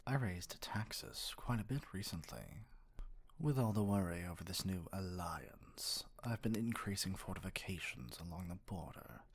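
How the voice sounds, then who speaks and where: A man's voice: low-pitched, breathy edge, heavily acted. A man voicing a spoiled, haughty evil king in an ASMR roleplay, speaking in a theatrical, condescending drawl.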